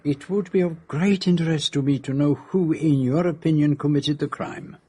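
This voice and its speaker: Courteous Belgian detective, French-speaking accent. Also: male voice, a little high, slightly rough. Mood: polite, probing. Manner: formal, measured, gently ironic. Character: courteous, shrewd continental male detective, methodical and sly. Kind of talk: radio drama acting.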